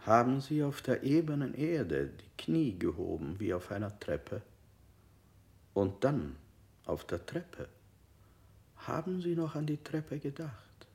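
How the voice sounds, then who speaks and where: An actor playing a calm male interrogator in a 1960s radio play. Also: medium pitch, slow pace, creaky, full character voice. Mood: probing. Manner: calm, probing questions. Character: cold male interrogator, middle-aged.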